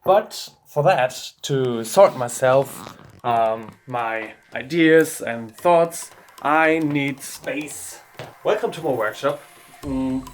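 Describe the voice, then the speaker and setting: A German man with a German accent, a game designer vlogging from his home workshop in a relaxed way, thinking aloud with frequent ums. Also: mid-range voice. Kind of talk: casual vlog talk to camera.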